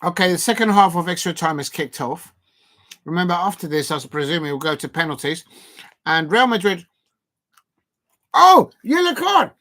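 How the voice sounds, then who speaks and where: A man from Colombia hosting a live football watch-along, talking casually over the match with many fillers and restarts and sudden excited outbursts. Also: high-pitched, normal speed, long pauses.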